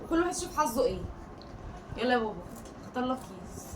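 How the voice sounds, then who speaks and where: Egyptian female family vlogger, rapid-fire chatty delivery, casual unboxing talk, recorded off-mic in an echoey room. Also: fairly high pitch, big pitch swings. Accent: Egyptian Arabic. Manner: chatty, addressing viewers and family. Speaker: family vlog host. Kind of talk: casual chat while unboxing toy bags. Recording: distant mic, echoey room, clear background noise.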